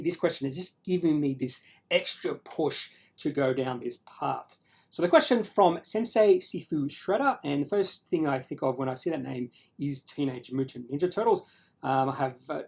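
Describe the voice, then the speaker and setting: A man with an Australian accent talking casually to viewers as host of his own channel, explaining things in a halting, self-correcting way. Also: on the high side, a little mellow. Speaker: finance video creator and host.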